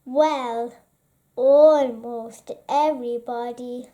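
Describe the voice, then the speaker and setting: A woman reading a children's bedtime story aloud in an expressive storytelling style. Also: on the high side, extremely slow, dull audio.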